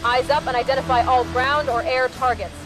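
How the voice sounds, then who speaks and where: A confident female pilot played by an actress delivers brisk, businesslike military callouts in a video game. The voice is high-pitched, and music is clearly heard behind it. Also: steady tone, close to an everyday voice, low-quality recording. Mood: brisk, focused.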